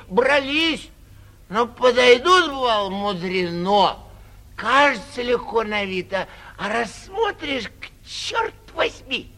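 A man's voice: very high voice, pushed and bright, full character voice. An actor playing a boastful, tipsy young man who gets carried away, in theatrical 1940s radio drama style. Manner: drawn-out bragging with sudden swells and drops.

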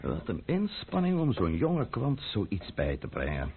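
An actor playing an imperious, scheming, middle-aged French count in a radio play, husky-voiced, haughty and lecturing. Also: male voice, fairly low pitch, huge pitch swings, measured pace, background noise, exaggerated voice. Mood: lecturing, sardonic. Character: imperious, scheming French count and royalist intriguer, impatient, sardonic. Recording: muffled, narrow-band old radio recording.

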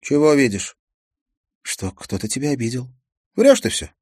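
A man voicing a sullen, defiant teenage girl who shouts back in shrill outbursts. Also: lively intonation, unhurried, breathy edge, no echo, clean studio sound.

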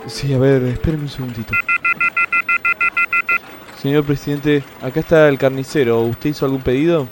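A man's voice: medium pitch, fairly even intonation, normal speed, very noisy, lightly acted. A man playing a casual, questioning Argentine club helper in a radio comedy. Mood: helpful.

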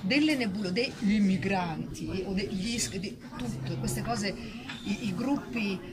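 A woman's voice: medium pitch, unhurried, crowd noise. A woman in spontaneous reflective discussion, emphatic, repeating short phrases as she searches for words. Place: public festival discussion, noisy surroundings. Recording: very noisy live event recording.

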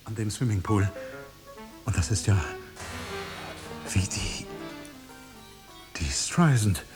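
A fairly low-voiced man is a baffled journalist in a radio play. He speaks slowly and haltingly, stubbornly questioning what he hears. Music plays behind him. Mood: insistent, amazed. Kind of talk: German radio drama acting.

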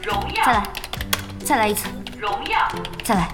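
Female actor as forthright young woman, animated delivery, insistent, radio drama, faint music behind. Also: medium pitch, moderate pace, close to an everyday voice. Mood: determined. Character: spirited, forthright young woman at a gaming hangout. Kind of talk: Chinese radio drama acting.

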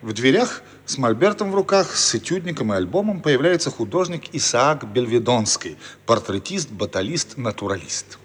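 An actor narrating stage directions for a radio play in a theatrical scripted style. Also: male voice, mid-range voice, sing-song, moderate pace, few pauses, slightly rough. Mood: storytelling. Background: loud music.

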